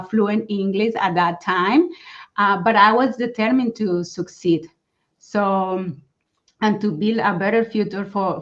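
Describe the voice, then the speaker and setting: A woman from Colombia with a Colombian Spanish accent, telling her story as an interview guest in an earnest way, with many fillers and restarts. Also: mid-range voice. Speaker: guest, mindfulness coach. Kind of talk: personal story in a casual interview. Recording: dull lo-fi sound.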